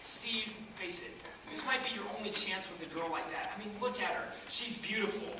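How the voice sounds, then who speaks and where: A man's voice: high-pitched, a little fast, natural voice. A young man acting a cheeky, sarcastic slacker in an amateur stage comedy, talking casually and teasingly. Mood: coaxing. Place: theater stage, indoors. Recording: echoey theater, distant mic, clearly noisy.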